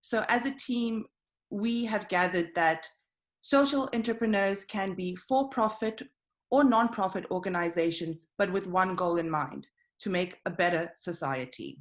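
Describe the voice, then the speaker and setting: A woman's voice: medium pitch, unhurried. A woman giving a scripted student project presentation, walking steadily through slides with some fillers.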